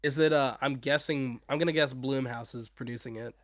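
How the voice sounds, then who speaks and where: A man's voice: mid-range voice, fairly even intonation, a little fast, dry recording. Agreeable young man chatting, dry sound. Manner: slangy, agreeable, enthusiastic. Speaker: guest on an interview, horror series creator. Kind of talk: casual chat.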